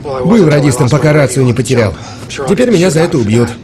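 A man's voice: a little high, lively intonation, fast, a crowd in the background, close to an everyday voice. Man playing an anxious young army private. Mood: nervous, rueful. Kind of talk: dubbed war drama dialogue.

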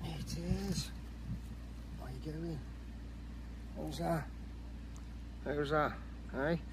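Gravelly, slow-talking man fishing outdoors. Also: on the high side. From England. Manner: chatty, excitable, exclaims and chuckles often. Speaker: angler vlogger. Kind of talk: casual fishing commentary to camera. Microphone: camera close by on the bank. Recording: outdoor noise on the bank.

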